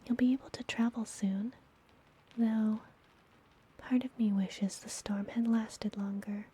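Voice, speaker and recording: A woman playing a friendly, mysterious, teasing witch healer in an ASMR fantasy roleplay, speaking gently and soothingly in a soft, breathy whisper. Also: on the high side, steady tone, exaggerated voice. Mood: caring, gentle. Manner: slow, gentle, soothing, deliberate.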